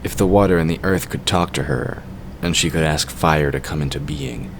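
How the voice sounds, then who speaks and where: A man's voice: very deep voice, moderate pace, slight background noise, low-quality recording. A man reading scripted narration for a fantasy audio drama.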